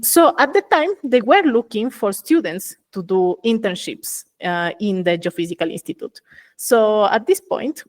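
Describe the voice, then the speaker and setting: Ecuadorian woman explaining with careful pauses. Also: mid-range voice. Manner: thoughtful, explains step by step with many "uh" pauses. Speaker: geophysicist guest. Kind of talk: casual interview.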